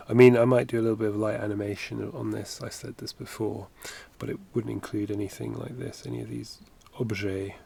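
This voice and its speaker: Gravelly man rambling while drawing. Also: lowish voice, lively intonation, fairly quick, few pauses, warm tone. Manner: rambling, self-correcting, chatty asides. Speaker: animation streamer. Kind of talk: casual livestream chat while drawing. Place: home studio, indoors. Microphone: headset.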